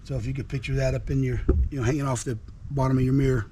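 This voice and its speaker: Hoarse man, casual and eager show-and-tell, noisy outdoor take. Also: medium pitch, steady tone, very fast, warm tone. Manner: enthusiastic, repetitive, folksy. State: hoarse from illness. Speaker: trucking vlogger. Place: outdoors at a house.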